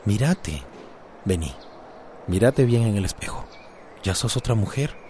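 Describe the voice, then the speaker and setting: A Costa Rican man playing a gentle, supportive companion in a radio drama, coaxing and soothing. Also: lowish voice, lively intonation, measured pace, slight background noise. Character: gentle, supportive man calming a loved woman.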